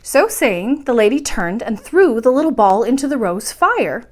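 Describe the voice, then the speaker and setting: Animated woman reading a bedtime story. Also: on the high side, moderate pace. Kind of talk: expressive bedtime-story narration.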